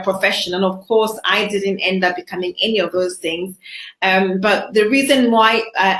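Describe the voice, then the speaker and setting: A woman's voice: medium pitch, fairly bright tone. Woman from zambia telling her life story, earnest, lots of uhs, roomy echo. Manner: earnest, reflective, with frequent fillers. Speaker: guest. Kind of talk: personal testimony in an interview.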